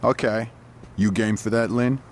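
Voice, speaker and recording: A man's voice: medium pitch, fairly even intonation, a little slow, a little hiss or hum, lightly acted. A man playing a hot-tempered gang leader in a video game, blunt and profane. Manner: blunt, profane, short-fused. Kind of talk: video game cutscene acting.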